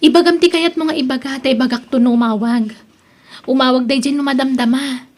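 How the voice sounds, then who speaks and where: An actress playing a homely, concerned, questioning woman in an Ilocano radio drama, with an Ilocano accent and a breathy, husky voice. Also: high-pitched, fairly even intonation, a little fast. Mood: curious, guarded.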